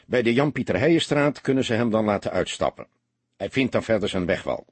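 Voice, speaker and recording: A man voicing a seasoned, greying police detective in an audiobook, calm, sober and matter-of-fact. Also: fairly low pitch, brisk, husky, no echo. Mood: businesslike.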